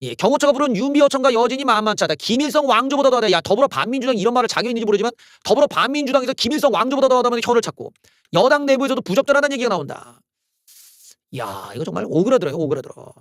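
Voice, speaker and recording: A man's voice: very high voice, sing-song, racing, no echo, clean studio sound. A man hosting a solo political commentary channel, ranting in a heated, sarcastic way with exclamations and curses. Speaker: political YouTube host.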